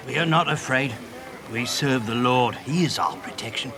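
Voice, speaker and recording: An actor playing a fearful, pious villager on a hunt in a period audio drama, speaking anxiously. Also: male voice, medium pitch, normal speed, background noise, natural voice.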